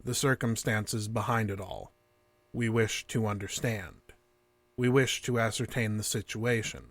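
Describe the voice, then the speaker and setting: A cold, relentlessly calm alien interrogator in an audio drama. The voice is fairly low and recorded very dry. The phrasing is formal, clinical and repetitive. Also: male voice, unhurried, dry recording. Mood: cold, detached.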